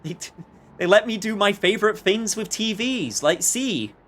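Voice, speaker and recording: A man with a somewhat high-pitched voice talks casually over a game on stream. He rambles from one thought to the next and tosses in joking asides. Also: expressive, normal speed, a bit bright. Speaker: livestreamer.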